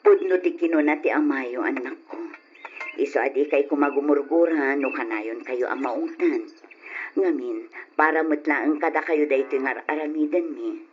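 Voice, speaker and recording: A woman's voice: low voice, moderate pace, lightly acted. An actress playing a caring, fretful older rural wife and mother, fussing and coaxing in an Ilocano radio drama. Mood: gently admonishing. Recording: lo-fi old radio, background hiss.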